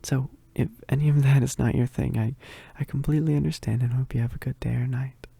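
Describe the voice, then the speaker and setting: Soft, dark-voiced male ASMR creator. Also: mid-range voice, fairly even intonation, fast talker, gravelly, husky, no room sound. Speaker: ASMR audio roleplay creator. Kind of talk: soft-spoken ASMR intro.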